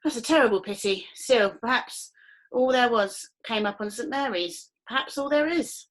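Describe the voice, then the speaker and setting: A woman's voice: a little high, normal speed, voice pushed up, lightly acted, low-quality recording. A woman voicing a sly island father who plays innocent in a folksy, evasive way.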